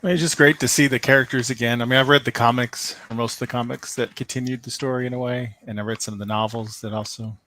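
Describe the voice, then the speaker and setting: A man's voice: mid-range voice, fairly even intonation, fast, hardly any pauses, sharp tone. A man chatting casually as a fan panelist, offering informal opinions and qualifying them as he goes.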